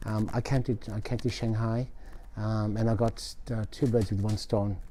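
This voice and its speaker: Man, mellow dark tone, slightly low pitch, casual interview guest, measured with pauses. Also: level tone. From Australia and Italy. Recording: background noise, room ambience.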